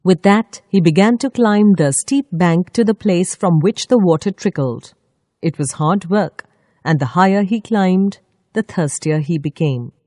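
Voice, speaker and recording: Mellow, lowish-voiced woman telling a children's story. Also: dry recording, studio quality. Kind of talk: scripted children's story narration.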